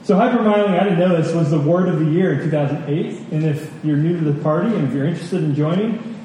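A man's voice: fairly high pitch, quick pace, mellow. A man preaching a sermon to a congregation, using rhetorical questions and repeated phrases for emphasis. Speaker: pastor preaching. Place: large room at a church gathering. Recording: echoey hall, distant mic, faint noise.

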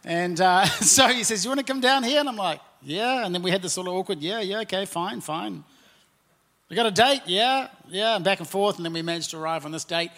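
A New Zealand pastor in his forties gives a chatty sermon from the stage of a church. His voice sits a little high for a man, and he fills his phrases with easy "and, uh" pauses. He talks to the congregation as if in conversation. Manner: warm, conversational preaching with frequent "and, uh" fillers. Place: church auditorium.

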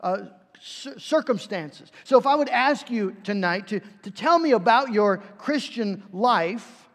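A man's voice: high voice, animated, unhurried. A man preaching a sermon in a conversational way, with frequent fillers and restarts. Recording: reverberant hall.

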